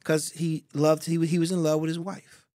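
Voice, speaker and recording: A middle-aged man speaks as a guest on a studio podcast, laying out his thoughts in a measured, philosophical way. His voice sits a bit high for a man. The sound is close and dry. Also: level tone, mellow, no echo. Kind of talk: reflective interview talk. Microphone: studio mic on boom.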